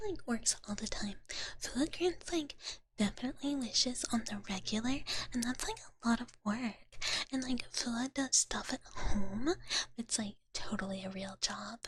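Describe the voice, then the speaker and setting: Woman playing female dragon big sister, pampering ASMR stream character, close breathy whisper, cutesy valley-girl phrasing, unhurried pace, faint noise. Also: fairly high pitch, lightly acted, low-quality recording. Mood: soft, affectionate. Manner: cutesy valley-girl filler-laden talk in third person. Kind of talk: whispered live ASMR chat. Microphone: close ASMR microphone.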